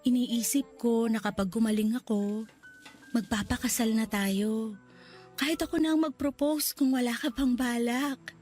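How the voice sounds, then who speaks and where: A woman voices a young woman gravely ill in a hospital bed, tender toward her love and pleading with him. Her voice sits a little high and soft, breaking into tears. Faint music plays under this radio drama. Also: steady tone, moderate pace, natural voice.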